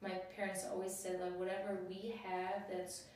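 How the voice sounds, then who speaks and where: A woman's voice: medium pitch, flat delivery, moderate pace, darkish tone, far from the mic, a little hiss or hum. A young woman who grew up in Albania, talking in a casual chat and often saying "like". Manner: chatty, laughing, lots of "like". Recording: echoey room, voice from off mic.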